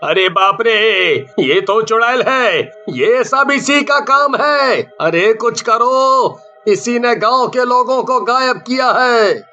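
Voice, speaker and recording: A man voicing an alarmed, take-charge villager in a cartoon story, emphatic and excitable. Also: very high-pitched, slow pace, few pauses, voice pushed up, exaggerated voice. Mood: panicked. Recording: muffled band-limited audio, music behind.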